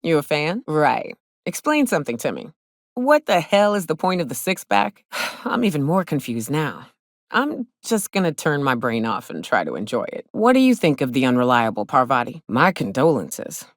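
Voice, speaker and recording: Low-voiced, blunt frontier huntress. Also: female voice, big pitch swings, moderate pace, no echo, studio quality. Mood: wry, blunt. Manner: blunt, wry, folksy frontier speech with ain't and mite. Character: gruff, hard-drinking frontier woman, hunter and guide for hire. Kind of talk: video game companion dialogue acting.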